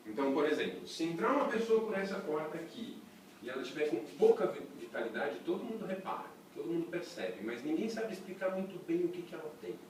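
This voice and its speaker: Brazilian workshop teacher, male, mid pitch, chatty and animated; distant mic, strong room echo. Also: fast talker, slight background noise. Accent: Brazilian Portuguese. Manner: chatty, lively, asks the class rhetorical questions. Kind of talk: casual workshop lecture to a small group. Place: workshop room with a small audience.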